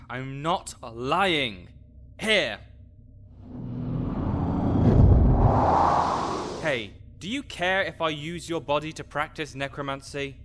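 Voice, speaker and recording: A man playing a slow, grouchy, exasperated necromancer. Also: a little high, animated, pushed and bright, slight background noise, heavily acted. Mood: dry impatience. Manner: condescending, pedantic, sarcastic. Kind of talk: audio roleplay acting addressed to the listener.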